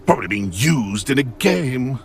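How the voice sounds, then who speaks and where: An actor playing a pompous, mocking British ghost lord in a video game, theatrical and taunting. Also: male voice, medium pitch, expressive, moderate pace, slight background noise, lightly acted. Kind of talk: video game dialogue acting.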